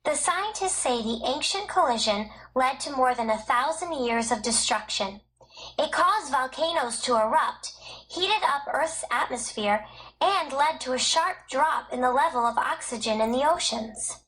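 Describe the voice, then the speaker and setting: High-voiced woman reading educational narration. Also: lively intonation, a little slow, a bit bright, slight background noise, poor audio. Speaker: textbook narrator.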